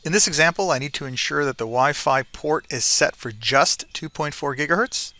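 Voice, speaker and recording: A man's voice: on the high side, moderate pace, pushed and bright. A man giving an informal, conversational technical tutorial as a presenter, often checking in with the listener as he explains. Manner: conversational, frequently checks with "right?".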